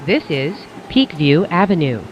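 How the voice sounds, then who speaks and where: A train announcer reading scripted automated station announcements in a measured, formulaic way. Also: medium pitch, sing-song, lo-fi sound, slight background noise.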